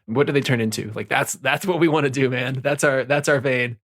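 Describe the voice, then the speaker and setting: A man's voice: medium pitch, fairly quick, a bit bright. Male podcast co-host, mid-range voice, casual and upbeat. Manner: upbeat and chatty. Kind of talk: casual book discussion.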